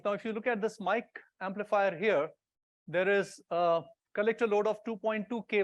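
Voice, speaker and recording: Male conference presenter, notably high voice, explanatory and informal, walking listeners through technical material. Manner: explanatory, informal, pointing things out as he goes. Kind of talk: technical conference talk to an audience.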